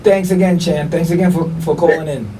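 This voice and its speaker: A man's voice: a little high, fairly even intonation, fairly quick, mellow, far from the mic, slight background noise, low-quality recording. A man co-hosting a podcast, talking enthusiastically and hyping people up. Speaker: podcast co-host. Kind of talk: casual boxing podcast talk.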